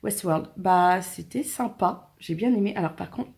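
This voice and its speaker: A woman's voice: mid-range voice, unhurried, gravelly. A woman in her late twenties with a French accent, chatting casually to camera in a family vlog, rambling about her day. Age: 20s. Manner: chatty, rambling, talks softly to her cats. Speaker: family vlogger.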